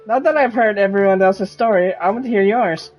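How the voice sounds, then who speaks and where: A woman's voice: medium pitch, fairly quick, a little mellow, soft background music, rough recording. A woman streaming a game, casually reading dialogue aloud and reacting as she plays.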